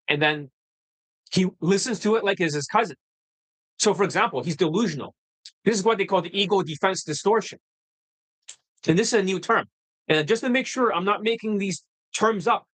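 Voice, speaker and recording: Blunt guy lecturing on a livestream, kinda high voice, swears a lot. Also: a bit bright, poor audio. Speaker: dating coach. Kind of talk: livestream monologue.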